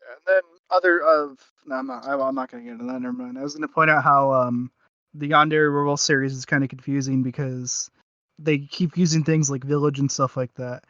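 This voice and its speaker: A man chatting casually as a podcast co-host, halting and correcting himself as he makes his points. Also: medium pitch, fairly even intonation, a bit bright, dry recording. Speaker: podcast co-host reviewing audio dramas. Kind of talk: casual review chat. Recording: dull, lo-fi dry mic.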